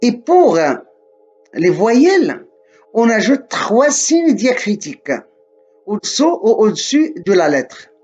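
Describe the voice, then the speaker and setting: Slow, deliberate man with faint music. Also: high voice, sing-song, darkish tone, lo-fi sound. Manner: deliberate, repeats himself, self-corrects. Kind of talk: slow spoken lesson to camera.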